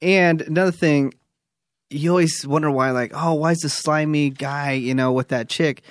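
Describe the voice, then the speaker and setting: A man's voice: fairly high pitch, fairly even intonation, normal speed, no echo. A man in his thirties co-hosting a podcast, talking in a laid-back, casual way and saying "you know" often. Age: 30s. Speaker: podcast co-host. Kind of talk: casual advice chat.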